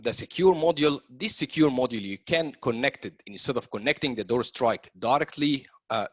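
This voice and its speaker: A man's voice: medium pitch, fairly bright tone, no room sound. A man who speaks as a presents product training in an explanatory way, often stalling on ums and uhs. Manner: hesitant, frequent long ums and uhs mid-sentence. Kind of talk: explanatory slide presentation, loosely scripted. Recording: dull, lo-fi webinar audio.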